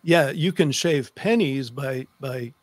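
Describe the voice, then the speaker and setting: A man's voice: medium pitch, slow pace, no echo. A middle-aged man hosting a conversational interview, speaking close to a desk microphone on a video call. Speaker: host. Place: book-lined room indoors, on a video call.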